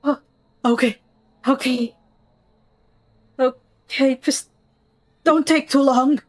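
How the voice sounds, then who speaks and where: A woman's voice: high-pitched, steady tone, takes it slowly. A woman voicing a frightened, desperate father who pleads and repeats himself in a tabletop role-play. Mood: shaken, reluctant.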